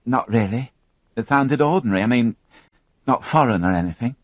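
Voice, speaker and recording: A man voicing a frightened young woman who witnessed a crime, tearful and halting, repeating her words as she talks. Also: medium pitch, animated, no room sound, highs cut off, pitched above the performer's own voice, natural voice. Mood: shaken.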